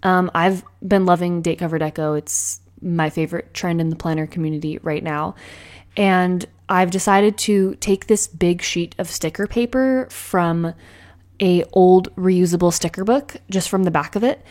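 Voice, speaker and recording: A woman chatting casually while decorating a planner, bubbly and rambling, her voice a little raspy in the morning. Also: lowish voice, moderate pace, darkish tone. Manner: bubbly, rambling, frequent laughs and filler words. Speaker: planner vlogger. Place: at home with cats meowing.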